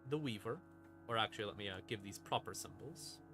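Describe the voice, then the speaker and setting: A man hosting a stream and explaining game lore in a casual monologue, with theatrical, deliberate pauses between short phrases. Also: lowish voice, expressive. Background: ambient music in the background.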